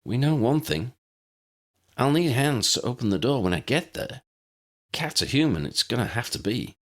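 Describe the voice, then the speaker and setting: A man's voice: medium pitch, big pitch swings, normal speed, voice pushed up. A man voicing a plucky, practical young woman in a matter-of-fact, resigned way.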